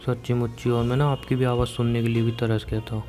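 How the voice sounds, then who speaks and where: Mellow young Indian man on a phone call. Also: medium pitch, little pitch movement, fast. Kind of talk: casual intimate phone chat. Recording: phone call recording, faint music.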